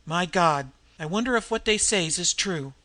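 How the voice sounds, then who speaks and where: A reader voicing a delirious female street tough who croaks out harsh, slangy threats. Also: medium pitch, expressive, normal speed, pushed and bright. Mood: mumbling. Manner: snarling, threatening.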